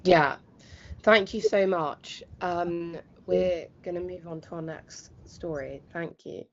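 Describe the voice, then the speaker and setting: A woman's voice: on the low side, slow, lo-fi sound, slight background noise. A woman hosting live news, putting questions to a guest and linking between stories. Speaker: news host.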